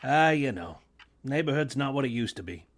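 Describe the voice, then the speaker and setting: A man playing a gentle, rambling old uncle. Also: mid-range voice, lively intonation, normal speed. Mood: fond. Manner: hesitant, rambling, full of fillers. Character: gentle good-natured working man in his sixties. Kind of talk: radio play reading.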